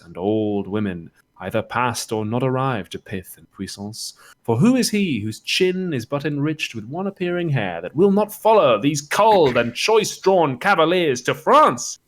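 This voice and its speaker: A man's voice: medium pitch, close to an everyday voice. A man reading a theatrical, exhortatory stage chorus who narrates a war in Shakespearean verse.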